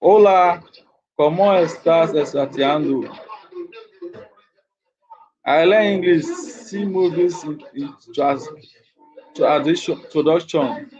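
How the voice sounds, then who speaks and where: A man's voice: fairly high pitch, slow, pauses often, a little mellow, breathy edge, lo-fi sound. Nigerian man chatting on a livestream. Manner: repeats his phrases over and over, chatty. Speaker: livestream host. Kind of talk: casual livestream chat with viewers.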